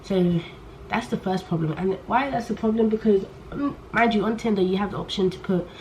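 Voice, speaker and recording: A young woman talks straight to the camera, loud and unfiltered. She keeps restarting and wandering off her point. Her voice sits in a middle pitch, over a faint hiss from poor audio. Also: brisk. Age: young adult. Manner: rambling and candid, trails off and restarts, loud on purpose. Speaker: vlogger. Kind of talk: casual chat to camera.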